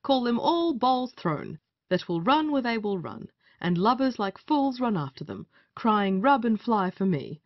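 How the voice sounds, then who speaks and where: A woman's voice: fairly high pitch, big pitch swings, a little slow, no echo, lo-fi sound. A woman playing a sardonic male gallant in a period stage comedy, wry and teasing with a companion.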